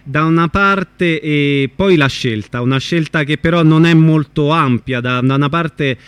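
Higher-voiced Italian man hosting radio. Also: unhurried, a bit bright, clean tone, no room sound. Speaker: radio host interviewing guests. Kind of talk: conversational live radio interview. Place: radio programme.

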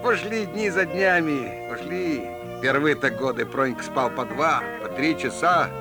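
An actor playing a sly, folksy storyteller, narrating a fairy tale in rhyming, theatrical style. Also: male voice, a little high, animated, unhurried, over music. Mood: folksy, showy.

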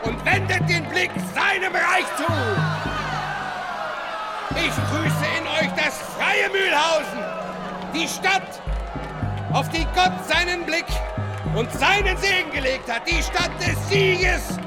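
An actor playing a fiery rebel preacher in a radio drama, delivering declamatory, solemn speeches to peasants. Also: male voice, very high voice. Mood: rousing, defiant. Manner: declamatory, drawn-out, solemn phrasing. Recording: loud music and crowd behind.

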